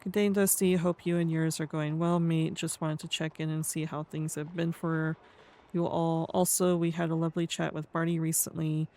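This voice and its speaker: Roleplay game streamer, medium pitch, casual delivery, speech impediment, stumbles and mumbles a little. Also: level tone, moderate pace, darkish tone. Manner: tongue-tied, mumbly, stumbles over words. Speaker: streamer playing a roleplay character. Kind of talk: casual game livestream commentary, reading aloud.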